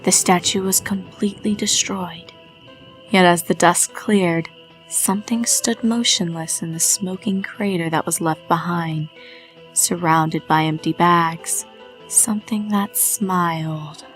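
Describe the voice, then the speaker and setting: A woman reading a dark fan story aloud in a measured way, dropping to a hush on eerie lines. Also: lowish voice, faint music behind, low-quality recording. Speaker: reader.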